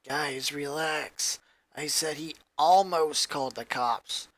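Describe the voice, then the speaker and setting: A man's voice: fairly high pitch, slow pace, fairly bright tone, no echo, pitched below the performer's own voice. A man voicing a weary, subdued teenage girl who speaks solemnly in a read-aloud story.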